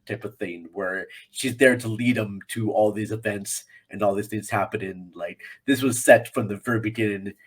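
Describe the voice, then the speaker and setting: Husky American man chatting about film. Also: fairly low pitch, normal speed, few pauses. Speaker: podcast guest and film commentator. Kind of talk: casual film discussion.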